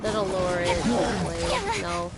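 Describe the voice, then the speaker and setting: A woman doing casual live gameplay commentary as a game streamer, talking haltingly and thinking aloud to herself. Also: on the low side, very animated. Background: video game music.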